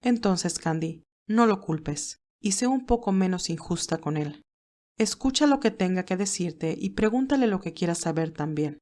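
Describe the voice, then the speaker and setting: A woman playing a wise, gentle older motherly mentor, speaking in a measured, reassuring way in an audio drama. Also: lowish voice, animated, close to an everyday voice. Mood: firm, reassuring. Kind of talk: audio drama character acting.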